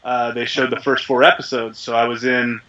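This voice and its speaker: A man who is a voice actor, talking casually in an interview with a deep, resonant voice while a little sick, rambling with many fillers. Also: flat delivery, normal speed, pushed and bright, muffled recording. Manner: chatty, rambling, self-deprecating with frequent fillers. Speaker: voice actor guest.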